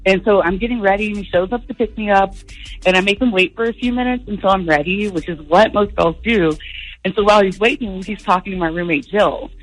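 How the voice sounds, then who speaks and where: A woman's voice: mid-range voice, fast talker, no room sound, faint music behind, rough recording. A young woman calling a radio show, telling her story in a casual, chatty way. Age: young adult. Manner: chatty, uses "like" often.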